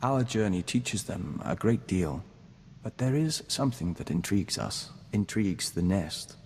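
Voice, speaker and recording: Calm insect-hive emissary, formal, measured, lowish voice, video game dialogue, long hall reverb. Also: male voice, slight background noise. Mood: earnest, dreamy. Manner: formal, measured, speaks of himself as we. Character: calm, formal young man joined to an insect hive mind. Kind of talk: scripted video game voice acting.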